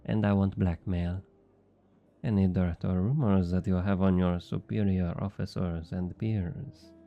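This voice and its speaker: An actor playing a smug, flirty thief with a French accent, teasing and coaxing in an intimate ASMR roleplay. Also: male voice, deep voice, steady tone, unhurried, vocal fry, dull audio, soft background music. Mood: coolly bargaining. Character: obnoxiously flirty, smug male thief and information broker.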